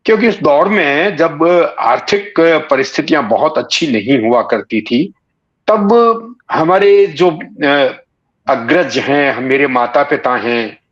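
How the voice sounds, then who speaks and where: Older guy in his 60s from bikaner reminiscing, mid pitch, really slow and halting, kinda muffled recording. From Bikaner, Rajasthan, India. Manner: halting, deliberate phrasing with pauses. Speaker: interview guest, writer and satirist. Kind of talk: reminiscing in a conversational interview. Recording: dull, muffled lo-fi audio.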